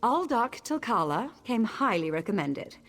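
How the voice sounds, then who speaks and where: An actress voicing a proud, imperious high elf noblewoman in a video game, formal and haughty. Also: sing-song, unhurried, faint music behind. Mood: scornful, self-assured. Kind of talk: video game character acting.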